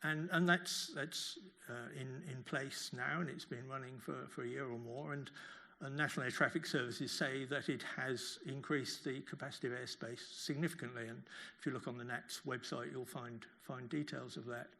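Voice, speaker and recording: A man's voice: medium pitch, fairly even intonation, normal speed, gravelly, pushed and bright. An older man, a professor explaining off the cuff in a lecture, often repeating words as he phrases his thoughts.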